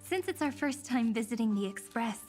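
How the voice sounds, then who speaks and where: An actress playing a gentle, playful young female sage in video game dialogue, with a soft, poetic storytelling manner. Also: on the high side, animated, fairly quick, natural voice. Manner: soft, breathy, teasing, storytelling. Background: soft game music.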